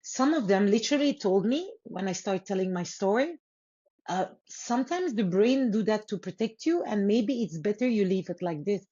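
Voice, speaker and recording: A woman from Congo whose first language is Lingala speaks as an interview guest, earnest and unhurried, pausing and restarting as she thinks. Her voice sits at an ordinary middle pitch for a woman. The audio is slightly dull and muffled. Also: animated, warm tone, slightly rough. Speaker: guest author. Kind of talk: reflective interview storytelling.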